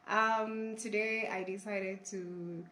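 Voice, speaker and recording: A woman in her forties from Kenya, hoarse with a flu, speaking earnestly and with some hesitation as she is interviewed about her channel. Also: mid-range voice, fairly even intonation, slow, sharp tone, echoey room. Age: 40s. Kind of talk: casual interview. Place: her family home in a village.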